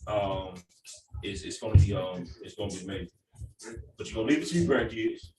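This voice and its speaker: A man's voice: on the low side, animated, a little fast, far from the mic. A man hosting a casual chat in a cigar lounge, laid-back, repeating his words and leaning on fillers. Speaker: host. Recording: roomy echo, off-mic, music behind.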